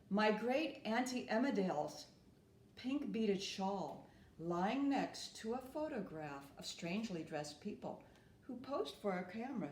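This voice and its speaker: A woman reading a children's storybook aloud as a storyteller, with deliberate, expressive phrasing. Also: mid-range voice. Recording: roomy, echoing room.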